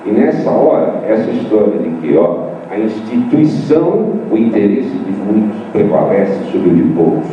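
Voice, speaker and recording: Older male professor, low voice, emphatic debater, distant mic in an echoey hall. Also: big pitch swings, normal speed, hardly any pauses. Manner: emphatic, with rhetorical questions and repetition. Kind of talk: debate answer to a student. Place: large hall at a university meeting. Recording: distant echoey room mic, dull and noisy.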